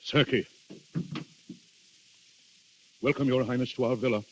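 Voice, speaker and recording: An actor in a 1930s radio drama playing an anxious, dutiful duke, speaking gravely and haltingly with great deference. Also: male voice, medium pitch, steady tone, fairly bright tone, lightly acted. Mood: nervously deferential. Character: anxious, dutiful Italian duke hosting a dreadful guest. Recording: muffled, dull 1930s radio recording.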